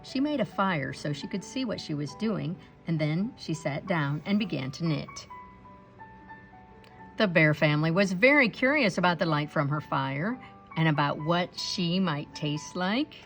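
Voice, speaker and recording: A woman reading a picture book aloud to children in an expressive storytelling style. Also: low voice, measured pace, gravelly, faint music behind. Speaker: storybook read-aloud reader.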